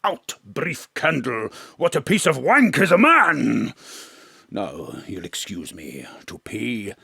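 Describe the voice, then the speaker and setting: Husky, pompous old stage thespian. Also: male voice, mid-range voice, very animated, takes it slowly, bright, forceful tone, no echo, clean studio sound, heavily acted. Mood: grandiose, self-pitying. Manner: theatrical, grandiloquent declaiming. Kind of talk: comic audio drama acting.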